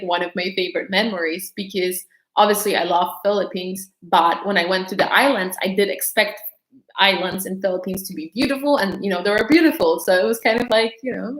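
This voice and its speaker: A woman's voice: mid-range voice, echoey room. Chatty young woman, mixed American-tinged accent. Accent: mixed English accent, mostly American-influenced. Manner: chatty, rambling, many "like" fillers. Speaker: vlogger answering viewer questions. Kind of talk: casual livestream Q&A.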